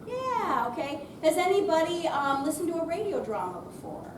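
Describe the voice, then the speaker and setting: A woman presenter speaking loudly and chattily off the cuff to a live audience, introducing a theater program. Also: very high-pitched, very animated, moderate pace. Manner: loud, projecting, chatty. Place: senior center room, unamplified, before an audience. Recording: distant mic, echoey room, noisy.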